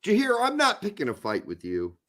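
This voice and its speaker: A man with a hoarse voice hosting a casual live soccer talk show, emphatic and swearing when fired up. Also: sits high, huge pitch swings, a little fast, pushed and bright. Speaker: podcast host.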